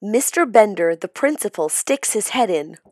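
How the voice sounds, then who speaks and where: A woman reading a children's story aloud in a theatrical, suspenseful, expressive way. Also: medium pitch, normal speed, no room sound, clean studio sound. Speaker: storybook reader. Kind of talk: dramatic children's read-aloud narration.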